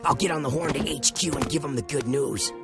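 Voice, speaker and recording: A man doing a brisk rebel commander. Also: medium pitch, loud music behind the voice, close to an everyday voice. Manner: brisk, military. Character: gruff male resistance commander.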